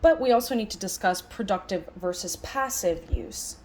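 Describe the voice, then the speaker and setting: A woman interprets a lecture aloud at a middling pitch that moves with lively swings. She sounds conversational and reflective, leaning on key words as she muses through the ideas. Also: normal speed. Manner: musing, emphatic phrasing with rhetorical questions. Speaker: sign language interpreter voicing a signed lecture.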